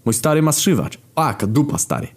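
A man voicing a crude, excitable young male revolutionary in a comic story, swearing and ranting theatrically. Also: fairly high pitch, brisk, husky, pitched above the performer's own voice. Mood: cheeky.

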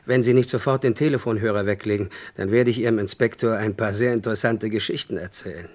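An actor playing a sly, insistent blackmailer in a German radio crime drama, speaking in a smug, deliberate, threatening way. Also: male voice, on the low side, steady tone, darkish tone, close to an everyday voice. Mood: menacing. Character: sly, insistent male blackmailer selling stolen goods.

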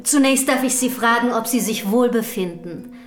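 An actress in a German historical radio drama playing an earnest, outspoken early feminist from the city, speaking formally and rhetorically. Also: female voice, a little high, normal speed, faint music behind, natural voice. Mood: formal, polite.

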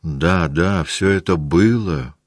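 A man's voice: low voice, takes it slowly, no room sound, studio quality. Man voicing a proud, wounded young noblewoman. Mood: troubled.

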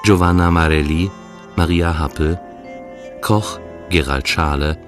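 Very deep-voiced male radio announcer. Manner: measured, list-like delivery. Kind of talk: formal scripted credits reading. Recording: music clearly behind the voice.

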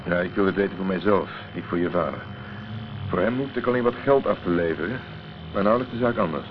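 Guy acting as blunt protective private eye in radio drama, really deep voice, short direct lines, dull noisy tape. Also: fast talker, close to an everyday voice. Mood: probing, firm. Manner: terse, direct. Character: blunt, dry, protective male private detective. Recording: muffled, noisy old radio recording.

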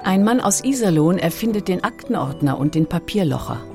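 Female narrator, scripted documentary read, low register with noticeable fry, over clear music. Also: animated, a little fast.

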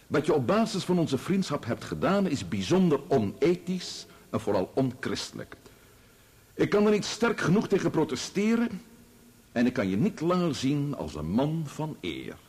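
A man playing a formal, probing barrister in a radio courtroom drama, with a deliberate, theatrical delivery. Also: fairly high pitch, sing-song, darkish tone, breathy edge. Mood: solemn reading. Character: formal, probing male barrister examining a witness. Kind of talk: radio play courtroom acting.

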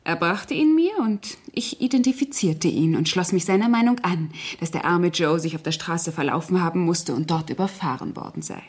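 A cool schemer in a radio crime play. Her voice is slightly low with a husky edge. She speaks precisely and with quiet, controlled command. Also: animated, normal speed, few pauses. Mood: cold, controlled. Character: cold, calculating murderess posing as helpful household friend. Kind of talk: German radio crime drama acting.

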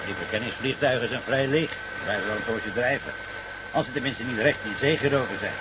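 An actor playing a dutiful, practical and deferential aircraft pilot in a 1970s science fiction radio play. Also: male voice, mid-range voice, brisk, natural voice. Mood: matter-of-fact. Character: dutiful aircraft pilot serving under a general. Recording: muffled radio recording with loud vehicle noise.

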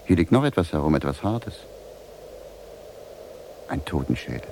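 An actor playing a weary, freezing wanderer who muses theatrically to himself in a radio drama. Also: male voice, very deep voice, very animated, moderate pace, a little mellow, exaggerated voice. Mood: musing, mocking. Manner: theatrical musing, talking to himself. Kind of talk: German radio drama acting, soliloquy. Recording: noisy 1970s radio drama recording.

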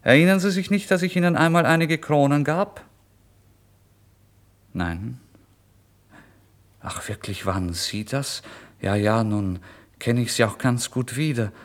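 A man's voice: mid-range voice, lively intonation, a little slow, pauses often, natural voice. An actor playing a starving, proud and agitated down-and-out man in a dramatic literary radio monologue. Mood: insistent, sly. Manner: dramatic, swinging from hushed brooding to indignant outbursts.

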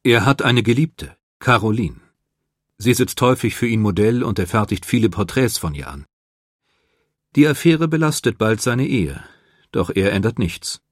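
A man reading scripted biographical narration in a measured, composed manner. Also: fairly low pitch, lively intonation, no room sound, clean studio sound. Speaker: documentary narrator. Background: music.

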